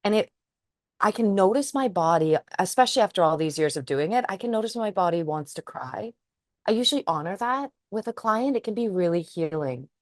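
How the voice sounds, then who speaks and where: A woman's voice: medium pitch, animated, a little fast, dry recording, studio quality. A woman who is a trauma psychotherapist, co-hosting a podcast and speaking in a thoughtful, earnest way. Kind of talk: casual chat.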